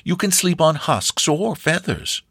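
A man's voice: a little high, sing-song, a little slow, a bit bright, breathy edge, no room sound, clean studio sound, voice pushed up, full character voice. A man voicing an elderly, hospitable country grandmother who talks in a folksy rural way. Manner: folksy rural scolding and offering.

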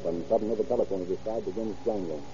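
A man's voice: fairly low pitch, steady tone, rapid-fire, warm tone. A man announcing and narrating from a script in the style of 1930s radio. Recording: dull, lo-fi 1930s radio recording.

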